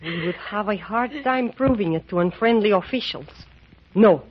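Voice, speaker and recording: Woman acting a husky, grave, commanding señora. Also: medium pitch, lively intonation, normal speed, lightly acted. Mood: urgent, commanding. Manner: formal, dramatic, uncontracted speech. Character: grave, commanding, mysterious Latin American woman ally. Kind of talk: old-time radio drama acting. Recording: muffled old radio recording.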